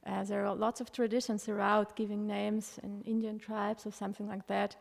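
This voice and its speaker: Gravelly-voiced woman, hesitant. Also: medium pitch, little pitch movement, moderate pace. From Germany. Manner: hesitant, many fillers, wry. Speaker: panel author. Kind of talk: panel discussion. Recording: reverberant hall.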